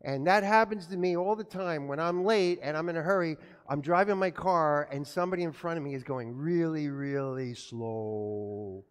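A pastor giving a children's sermon in a church, telling an animated story and asking the children questions. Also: male voice, a little high, normal speed, hardly any pauses, clear, resonant tone. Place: church sanctuary. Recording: echoey church from far off.

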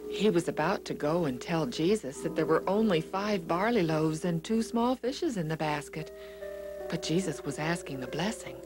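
A woman storyteller reads to small children in a lowish voice. Her delivery is deliberate and full of melodic swings, with music clearly behind her. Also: expressive. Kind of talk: scripted children's Bible story narration.

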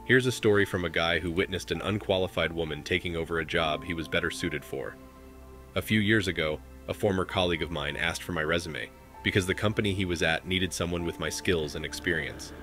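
A man in his thirties hosting a commentary show, reading posts aloud in a steady, measured way. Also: deep voice, faint music behind. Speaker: commentary host.

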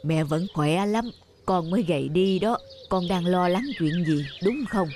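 A woman playing a gentle, loving elderly grandmother in a cartoon drama, speaking in a reassuring way. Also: lowish voice, unhurried, slight background noise, natural voice. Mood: caring. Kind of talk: animated drama dubbing. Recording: music behind the voice.

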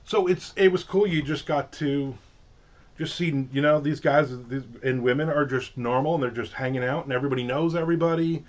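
A man's voice: a little high, a little fast. A middle-aged man with a New Jersey accent, chatting casually and rambling on a livestream from his home office. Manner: rambling, chatty, lots of fillers and restarts. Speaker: author hosting a livestream. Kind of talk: casual chat. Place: home office with shelves of collectibles.

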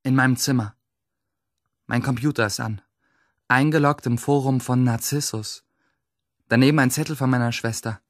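A man's voice: mid-range voice, fairly even intonation, normal speed, pauses often, dry recording, studio quality, natural voice. An actor playing a guilt-ridden teenage boy in a radio play, narrating in terse, clipped fragments. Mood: guilty. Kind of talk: radio play interior monologue. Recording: music behind the voice.

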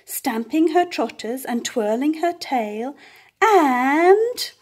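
An older woman reading a children's picture book aloud, with expressive emphasis and playful animal sounds. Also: high voice, slow pace. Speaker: storyteller. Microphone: camera above the book on a table.

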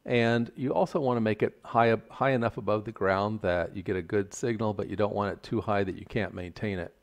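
An older man giving a measured, plain-spoken, step-by-step tutorial explanation. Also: fairly low pitch. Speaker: instructor. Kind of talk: explanatory tutorial narration over slides.

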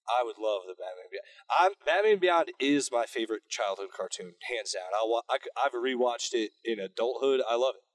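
A man's voice: medium pitch, a little fast, hardly any pauses, no room sound. A young man hosting a casual comedy podcast from inside a car, joking around and slipping into silly voices. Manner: joking, animated, slips into silly put-on voices. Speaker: podcast host. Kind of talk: casual banter among comedians.

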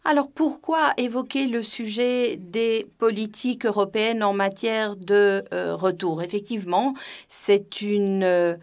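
A woman working as a conference interpreter, her voice sitting at an ordinary middle pitch. She speaks slowly and hesitantly, filling gaps with drawn-out pauses as she renders a webinar talk. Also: fairly even intonation. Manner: hesitant, frequent filler pauses.

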